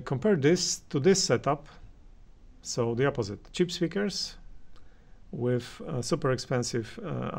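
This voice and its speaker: A man with a gravelly, creaky voice talks through his opinions on audio gear. He speaks slowly and haltingly, pausing often as he works out each point. His pitch sits in a middle range for a man. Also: a little mellow. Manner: hesitant, halting delivery with many pauses. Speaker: audio reviewer and channel host. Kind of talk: unscripted opinion commentary on hi-fi gear.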